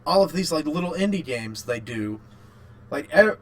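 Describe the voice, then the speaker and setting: A man co-hosting a podcast in casual chat, rambling and haltingly repeating his phrases. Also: fairly high pitch, lively intonation. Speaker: podcast co-host. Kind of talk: casual chat about video games.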